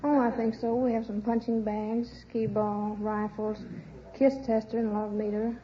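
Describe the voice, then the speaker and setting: Flat-toned woman on muffled old radio. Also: a little high, moderate pace. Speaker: contestant, arcade cashier. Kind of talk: unscripted quiz show interview. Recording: muffled vintage radio with hiss.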